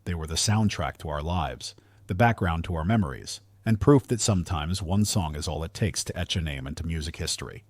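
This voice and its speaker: Low-voiced male countdown narrator. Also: brisk, no room sound. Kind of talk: scripted documentary-style countdown narration.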